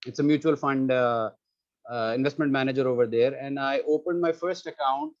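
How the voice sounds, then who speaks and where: A man from Pakistan in his thirties giving an earnest, hesitant webinar talk, full of pauses and "uh"s. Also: medium pitch. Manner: hesitant, filler-heavy, earnest. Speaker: webinar speaker. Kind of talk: webinar presentation. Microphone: computer mic on a video call. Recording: muffled online webinar audio.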